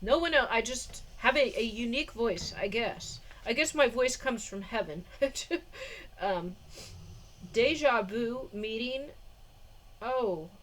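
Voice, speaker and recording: A woman in her forties rambles through a casual home vlog, her voice sitting fairly high, stopping for fillers and bursts of laughter. She talks into a phone or camera mic indoors. A faint background hiss runs under the recording. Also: lively intonation, slow pace, a bit bright, poor audio. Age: 40s. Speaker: vlogger.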